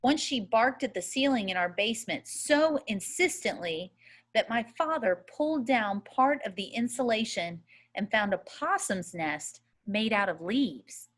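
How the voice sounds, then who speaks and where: A woman reading a first-person novel aloud as a storyteller, in a measured, expressive way. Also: fairly high pitch. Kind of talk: audiobook-style readaloud of a first-person children's novel.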